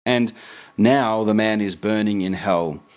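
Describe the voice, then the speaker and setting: A man's voice: lowish voice, measured pace, darkish tone, clear, resonant tone. Earnest man preaching and reading aloud. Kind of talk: preaching commentary with read-aloud quotations.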